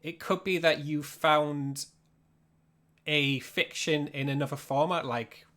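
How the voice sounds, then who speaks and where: Yorkshire guy on a stream explaining stuff, chatty, slightly high voice, casual workshop vibe. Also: fairly even intonation, slow, sharp tone. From Yorkshire, England. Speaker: streamer teaching audio drama production. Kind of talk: informal livestream workshop talk.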